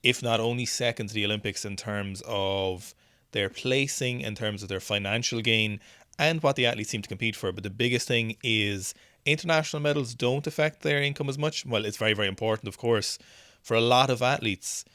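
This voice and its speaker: A man's voice: mid-range voice, fairly quick, pushed and bright, clear, resonant tone, no echo. Chatty male host, dry close sound. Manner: chatty and thinking aloud, with many restarts. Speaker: news show host. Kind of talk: casual video commentary on weightlifting clips.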